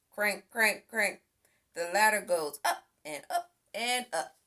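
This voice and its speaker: A woman reading a children's picture book aloud as an expressive storyteller. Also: medium pitch. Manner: expressive, acts out sound effects and exclamations. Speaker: storybook reader.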